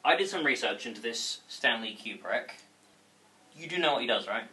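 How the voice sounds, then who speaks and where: A man's voice: mid-range voice, expressive, moderate pace, sharp tone. A man playing a concerned, exasperated cinema worker who reasons with his friend in a hesitant, wry way in a comedy read. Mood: wry, insistent. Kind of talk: comedy script table read.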